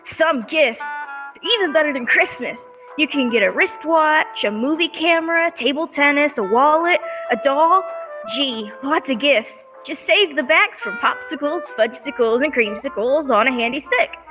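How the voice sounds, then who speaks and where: A woman's voice: high-pitched, animated, normal speed, over music. A woman playing an eager, wholesome young boy selling treats in an old radio advertisement, earnest and gee-whiz. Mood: excited, eager. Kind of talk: 1930s radio advertisement acting.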